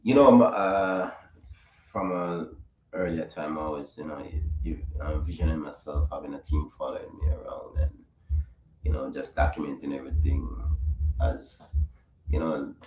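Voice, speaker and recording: A man with an extremely deep, low voice speaks as a guest on a call-in show, hesitating often and leaning on filler phrases. His voice sounds roomy, with a faint hiss of noise behind it. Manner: halting, many "you know" fillers. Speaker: guest, media business owner. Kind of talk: casual interview chat.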